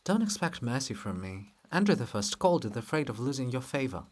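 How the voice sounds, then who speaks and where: A man's voice: medium pitch, big pitch swings, fairly quick, darkish tone. A man voicing a grave, reluctant sister in a dramatic reading, terse and solemn. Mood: stern. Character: grave, bitter, reluctant sister, a cruel truth-teller.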